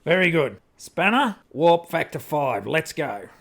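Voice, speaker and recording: A man's voice: on the high side, big pitch swings, measured pace, creaky, fairly bright tone, full character voice. An amateur actor playing an adventurous, easy-going male spaceship skipper in a radio play. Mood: brisk. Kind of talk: radio drama acting.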